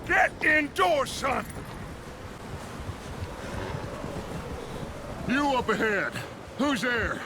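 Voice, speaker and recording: A man's voice: extremely high pitch, very animated, slow pace, noisy background, full character voice. An actor playing a charismatic, resolute and fatherly male outlaw gang leader in a western game, with a commanding, theatrical delivery. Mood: brisk, commanding. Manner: commanding, theatrical, rallying speech. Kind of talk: cinematic western game acting.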